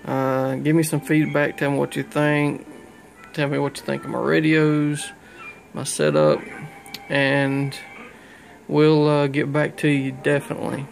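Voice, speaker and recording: A man's voice: on the high side, level tone, measured pace, creaky, faint music behind. A man casually showing off his own radio gear indoors in a folksy, colloquial way. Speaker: hobbyist CB radio operator. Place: small room indoors. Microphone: handheld phone camera behind the lens.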